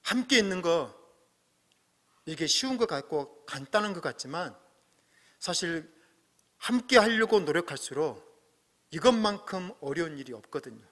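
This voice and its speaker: A middle-aged man preaching a sermon as pastor, earnest, swelling to emphatic peaks and dropping to soft asides. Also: on the high side, very animated, moderate pace, pauses often. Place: church sanctuary. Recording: long hall reverb.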